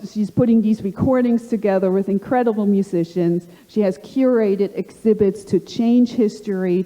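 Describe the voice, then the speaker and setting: A middle-aged woman with a slight German accent hosting a live panel, halting and full of fillers. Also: mid-range voice, mellow. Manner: halting, many fillers and restarts. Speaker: panel host. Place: conference hall. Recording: long hall reverb.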